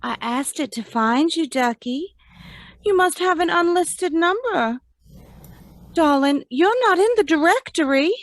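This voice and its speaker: Woman playing a high, cooing femme fatale. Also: animated, unhurried, no room sound, studio quality, exaggerated voice. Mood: gushing, flirty. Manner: cooing, coquettish. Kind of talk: old-time radio comedy acting.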